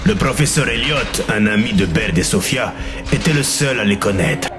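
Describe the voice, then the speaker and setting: A low male voice of an actor as a jaded, wry soldier looking back on a war mission. He speaks with sardonic understatement, in dramatic game style, over loud music. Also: expressive, normal speed, natural voice. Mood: grim recounting. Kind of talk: dramatic game narration and dialogue.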